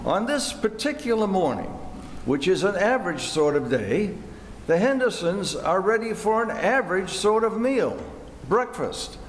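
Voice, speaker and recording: Guy narrating old radio play, highish lively voice, echoey hall, bit noisy. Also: measured pace. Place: indoor theater hall.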